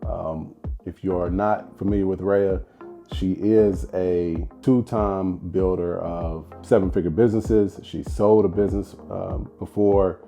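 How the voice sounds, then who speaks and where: A man hosts a podcast interview in an upbeat, hype-style way. His voice sits very low and sounds dark and mellow. Faint music plays underneath. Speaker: podcast host.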